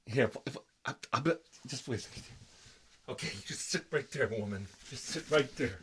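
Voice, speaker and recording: A man's voice: mid-range voice, expressive, fairly quick, a bit bright, a little hiss or hum, lightly acted. A man playing a whiny, foul-mouthed grown son who lives with his mother, complaining and rambling in a comedy sketch. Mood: sheepish chatting. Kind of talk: improvised comedy roleplay sketch.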